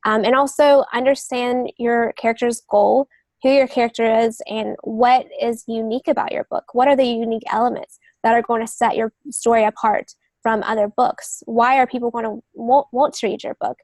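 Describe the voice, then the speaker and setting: Higher-voiced woman explaining, fairly even tone. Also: moderate pace, dry recording. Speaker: guest expert, novelist and agent. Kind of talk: conversational podcast explanation.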